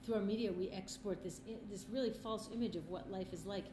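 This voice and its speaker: Fast-talking, earnest middle-aged woman. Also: mid-range voice. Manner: earnest, passionate. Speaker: interview guest, filmmaker. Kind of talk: conversational interview answers. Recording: roomy echo, faint background noise.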